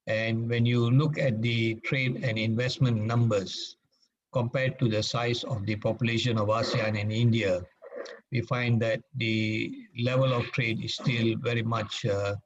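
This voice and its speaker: A man from Malaysia, giving a formal talk as a guest speaker at a meeting and pausing often with fillers. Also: medium pitch, fairly even intonation. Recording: dull lo-fi sound.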